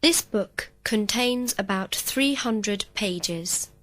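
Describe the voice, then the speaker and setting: Female student reading scripted answers, mid pitch, very slow pace. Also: a bit bright. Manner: slow, complete textbook sentences. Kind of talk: scripted full-sentence answers.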